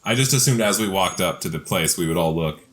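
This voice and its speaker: Very deep-voiced man, gaming. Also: animated, fast, fairly bright tone. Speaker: player in a tabletop game. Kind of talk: casual tabletop game talk.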